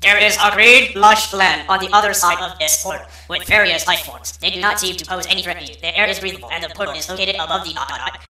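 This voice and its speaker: A man voicing a robotic scouting unit that reports in a clipped, rapid way. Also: high-pitched, bright, forceful tone, roomy sound, far from the mic, a little hiss or hum, voice pushed up, low-quality recording. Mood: matter-of-fact.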